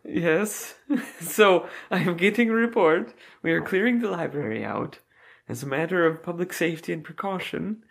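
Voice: mid-range voice, gravelly, close to an everyday voice. Someone putting on a pompous mock-Italian ancient Egyptian official. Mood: bossy. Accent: mock Italian-sounding Middle Eastern accent. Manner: broken grammar, slow emphatic repetition. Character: pompous ancient Egyptian librarian turned general, man. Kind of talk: improv comedy.

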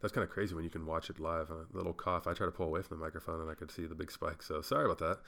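Low gravelly-voiced man rambling. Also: steady tone, racing, a bit bright. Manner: rambling, swears casually, self-interrupting. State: congested. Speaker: podcast host. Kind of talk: casual solo podcast monologue.

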